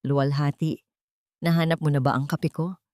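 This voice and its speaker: A woman's voice: deep voice, steady tone, normal speed, a little mellow, no echo, natural voice. A wounded rich man full of doubt as a woman narrator plays him in a romantic melodrama. The delivery is pained and cold, in a dry recording.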